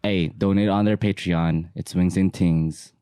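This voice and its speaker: A man guest on a podcast, chatting in an excitable way with a lot of "like" and laughter. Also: deep voice, unhurried, clean tone, no room sound, studio quality. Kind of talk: casual chat.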